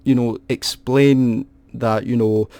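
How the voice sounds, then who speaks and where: A man's voice: mid-range voice, steady tone, slow pace, mellow, no echo. Rambling man venting about a game. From United Kingdom. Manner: rambling, frequent restarts and self-corrections; complaining, aggrieved. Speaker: gaming video host. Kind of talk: unscripted gaming commentary to camera.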